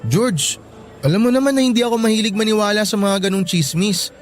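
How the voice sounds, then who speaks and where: An actor playing a concerned young student friend in a Filipino radio drama, casual and hesitant, with a Filipino accent. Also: male voice, high-pitched, fairly quick, faint music behind, close to an everyday voice.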